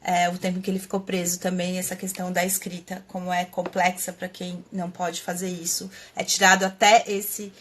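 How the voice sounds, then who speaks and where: A woman's voice: fairly low pitch, completely flat delivery, a little fast, hardly any pauses, fairly bright tone. Brazilian woman musing aloud on a live stream. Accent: Brazilian Portuguese. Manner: thinking aloud with many fillers and restarts. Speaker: host of a live stream on writing. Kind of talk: casual reflective live talk. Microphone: webcam or computer mic, live stream.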